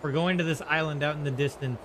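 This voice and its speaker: A man's voice: fairly high pitch, steady tone, fast talker, background noise. A man giving casual, offhand live commentary as he plays a video game, muttering short reactions. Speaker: gaming streamer.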